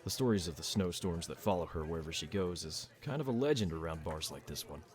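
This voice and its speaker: A man playing a calm, fatherly bartender and former royal guard in a fantasy audio drama, measured and easygoing. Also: deep voice. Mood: easygoing, amused. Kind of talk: amateur fantasy audio roleplay acting.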